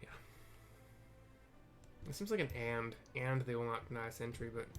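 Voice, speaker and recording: A man's voice: medium pitch, lively intonation, music in the background. A man casually narrating a game playthrough, often stumbling and correcting himself as he goes. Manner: halting, self-correcting read-aloud. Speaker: gameplay video host. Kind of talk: casual game playthrough, reading quest dialogue aloud.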